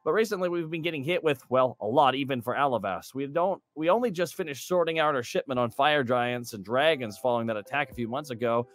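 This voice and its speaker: A man's voice: mid-range voice, fast, hardly any pauses, natural voice. A man voicing an earnest, chatty gnome assistant librarian who rambles eagerly through a fantasy newspaper interview. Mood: earnest, harried. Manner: rambling, eager, self-conscious joking.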